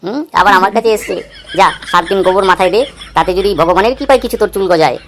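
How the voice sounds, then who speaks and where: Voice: medium pitch, fast talker, fairly bright tone, lightly acted. An actor playing a smug fake guru in a comic cartoon, rattling off bossy instructions at speed. The voice wheedles and gloats, over a faintly noisy recording. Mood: gloating. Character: sly fake holy man selling baldness cures.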